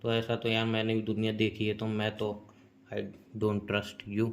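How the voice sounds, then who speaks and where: A man's voice: lowish voice, completely flat delivery, measured pace. A young man bickering casually on a call, teasing, cutting in and dropping English phrases into his talk. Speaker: boyfriend in a couple's phone call. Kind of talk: casual argumentative chat.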